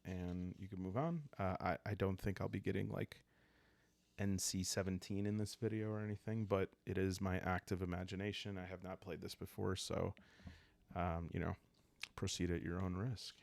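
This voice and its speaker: A man calmly narrating a solo roleplaying game in an ASMR style, soft and halting as he thinks aloud. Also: low-pitched, gravelly, dry recording. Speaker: host and solo player of a journaling roleplaying game.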